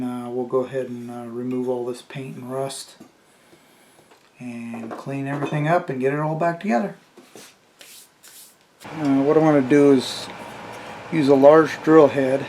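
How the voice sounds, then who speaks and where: A man's voice: medium pitch, a little hiss or hum, poor audio. A man in his fifties restoring an antique radio part in his home workshop, talking to himself as he works. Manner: relaxed, talks to himself while working, cheers at small wins. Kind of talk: hands-on workshop commentary.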